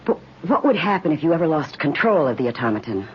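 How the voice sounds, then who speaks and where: An actress playing an anxious daughter of a scientist in an old radio drama, with an emotive, hesitant delivery. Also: female voice, low voice, big pitch swings, quick pace. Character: anxious, fearful scientist's daughter, technically knowledgeable. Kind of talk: 1950s radio drama acting. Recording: muffled, faintly noisy old radio recording.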